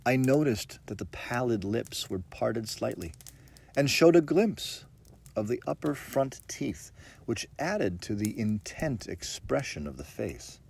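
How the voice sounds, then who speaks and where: Man reading with very expressive, swooping pitch. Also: a little slow, vocal fry. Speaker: audiobook reader. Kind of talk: dramatic narration of a ghost story.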